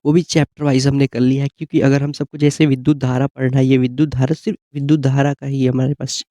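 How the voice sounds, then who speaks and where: A man gives an informal explanatory exam-prep lesson, often pausing to check that listeners follow. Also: medium pitch, level tone, warm tone, no echo. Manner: repeated check-in tags like "okay?".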